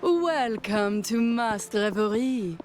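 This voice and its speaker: A woman's voice: a little high, animated, slow, a little mellow. An actress voicing a theatrical, teasing noblewoman who runs a mask and costume shop, speaking in a playful, flirtatious way. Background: faint game music.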